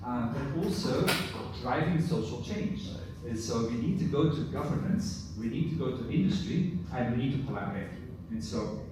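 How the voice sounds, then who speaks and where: A middle-aged man from Belgium whose first language is Dutch, giving a relaxed conference presentation with many fillers. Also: mid-range voice. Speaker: university professor. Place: large conference hall. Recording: echoey hall from far off, noisy.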